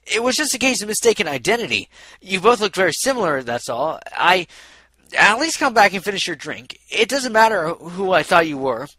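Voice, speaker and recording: A man voicing a boastful, smooth-talking male pony, a self-important musician and inventor, in a storybook reading. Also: high-pitched, sing-song, a little fast, pushed and bright, dry recording, pitched above the performer's own voice, heavily acted. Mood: pleading.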